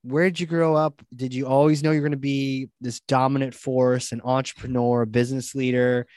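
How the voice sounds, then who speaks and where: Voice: medium pitch, little pitch movement, brisk, a little mellow, dry recording. Dry, close-sounding interview host. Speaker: podcast host. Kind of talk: casual interview chat.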